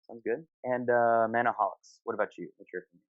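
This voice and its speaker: A man's voice: mid-range voice, steady tone, fast. A man casually hosting an online gaming panel, asking informal questions and affirming his guests' answers, on a dull voice-call recording. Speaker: host of a gaming discussion panel. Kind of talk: casual moderated online discussion.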